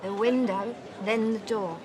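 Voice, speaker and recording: A woman's voice: fairly even intonation, a little slow, a crowd in the background, natural voice. An actress playing a drunken, self-pitying middle-aged mother in a soap, with a Northern English accent. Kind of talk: television soap acting. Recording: clear background noise, low rumble.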